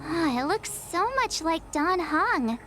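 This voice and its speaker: A super high cutesy little game sidekick, cheerful and curious, really swoopy, music behind. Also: female voice, expressive, slow pace, sharp tone, exaggerated voice. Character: small, childlike, cheerful, curious companion. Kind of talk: video game voice acting.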